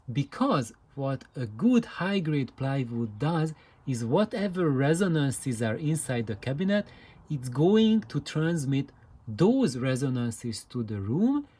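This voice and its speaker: A man giving an unscripted explanatory demonstration, talking in a deliberate, halting way as he presents. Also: medium pitch, darkish tone. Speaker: presenter of hobbyist audio videos.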